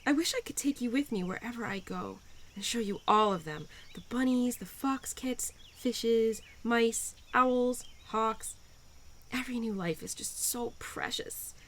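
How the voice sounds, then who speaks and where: A woman playing a cheerful, playful, nurturing young spirit of spring in an audio roleplay, affectionate and gently teasing as she speaks to the listener. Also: a little high, unhurried, slight background noise, natural voice. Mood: gushing, wistful.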